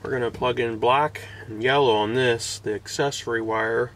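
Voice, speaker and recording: A man's voice: mid-range voice, steady tone, normal speed, a bit bright, slight background noise. A man in his forties giving a casual hands-on install tutorial, recorded on a camera mic inside a parked car. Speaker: DIY car vlogger. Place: inside a parked car outdoors, door open.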